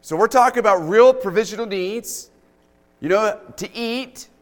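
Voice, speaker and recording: A man's voice: high-pitched, moderate pace. A middle-aged man with a big, bold voice, preaching a sermon to a church congregation as a guest speaker in emphatic, repeated short phrases. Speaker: guest preacher, youth camp director. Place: indoors in a church, speaking into a microphone. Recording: reverberant church hall, long reverb.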